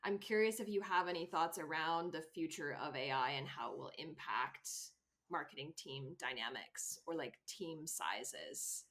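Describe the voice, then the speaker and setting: A woman's voice: deep voice, measured pace, a bit bright. A woman hosting a podcast interview in a casual, conversational way.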